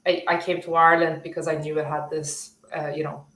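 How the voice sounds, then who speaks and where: Woman from bulgaria, 30s, low voice, friendly guest chat w fillers, echoey room. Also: flat delivery, a little fast, a bit bright. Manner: friendly, laughs easily, fillers. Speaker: guest, software development leader. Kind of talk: casual interview chat. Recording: roomy echo.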